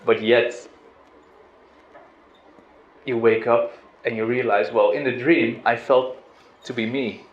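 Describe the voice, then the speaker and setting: A middle-aged man from the Netherlands giving an informal spiritual talk, relaxed and hesitant, with restarts and soft laughs. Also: medium pitch, takes it slowly, long pauses. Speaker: spiritual teacher. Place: outdoors on a river boat. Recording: roomy, dull lo-fi sound with faint noise.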